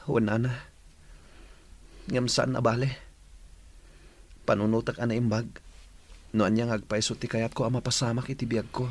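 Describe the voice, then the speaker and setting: A young man playing an orphaned, dutiful village son in an Ilocano radio drama, speaking in a slow, emotional, drawn-out way. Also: mid-range voice, level tone, close to an everyday voice. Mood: subdued, earnest.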